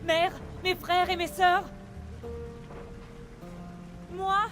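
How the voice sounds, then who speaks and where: An actress playing a warrior's earnest young daughter in a video game, intense and wounded. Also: female voice, very high-pitched, little pitch movement, very slow, music in the background, exaggerated voice. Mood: reproachful, hurt. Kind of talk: dramatic video game acting, French dub.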